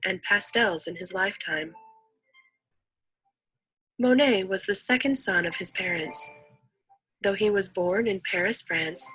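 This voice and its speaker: A woman's voice: mid-range voice, fairly bright tone, breathy edge, dry recording, rough recording. A woman reading a scripted educational narration as the narrator of an instructional video.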